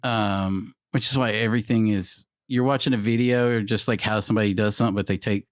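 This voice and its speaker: A man in his forties co-hosting a casual podcast chat, animated and swearing, with many restarts. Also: on the low side, fairly quick, no room sound, clean studio sound. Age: 40s. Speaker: podcast co-host.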